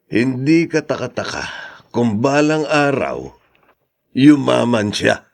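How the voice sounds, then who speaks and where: A man's voice: mid-range voice, sing-song, slow pace, creaky, exaggerated voice. A man playing a genial older man in a Filipino radio drama, with a Filipino accent. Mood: amiable.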